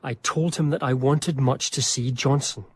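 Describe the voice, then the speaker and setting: An actor playing an eager, reverent young gentleman diarist in a radio drama, speaking formally and effusively. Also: mid-range voice, steady tone, normal speed, slightly rough, dry recording, close to an everyday voice. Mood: musing, eager. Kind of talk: radio drama dialogue and diary narration.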